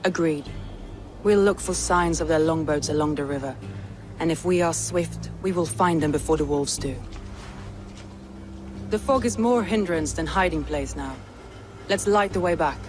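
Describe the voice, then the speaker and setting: An actress voicing a proud, resolute Norse warlord in a video game cutscene, speaking in a measured, guarded way. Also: female voice, deep voice, noisy background, close to an everyday voice. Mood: determined. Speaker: Norse lord of a city.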